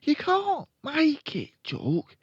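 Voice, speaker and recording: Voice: high-pitched, huge pitch swings, very slow, dry recording, dull audio, pitched above the performer's own voice, heavily acted. A narrator voicing a stubborn, boastful six-year-old boy in a storybook. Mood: proud, stubborn.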